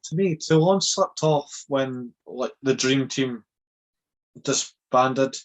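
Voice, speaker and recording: A man's voice: mid-range voice, slow pace. A young man co-hosting a casual podcast, laid-back and swearing casually. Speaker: podcast co-host.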